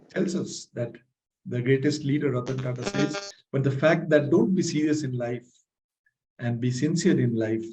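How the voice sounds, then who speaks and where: A man hosting a live training masterclass, energetic and motivational, often checking in with "right?"; his voice is a little strained from speaking loudly. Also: mid-range voice, low-quality recording. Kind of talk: live online masterclass with audience questions.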